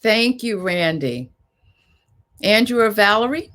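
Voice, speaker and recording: A woman's voice: mid-range voice, lively intonation, slow, fairly bright tone. Woman moderating a panel discussion.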